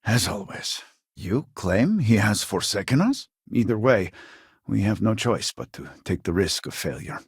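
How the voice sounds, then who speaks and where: An actor playing an earnest, exasperated scientist from a devout society in a sci-fi video game, speaking formally with a husky voice. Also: male voice, on the low side, sing-song, dry recording, studio quality, exaggerated voice. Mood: determined, solemn. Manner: formal, measured, articulate. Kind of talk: video game character dialogue.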